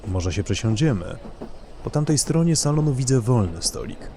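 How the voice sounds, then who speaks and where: A man voicing a formal, courteous gentleman traveller who is a cold-blooded hunter, in an audio story. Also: medium pitch, sing-song, normal speed, slight background noise.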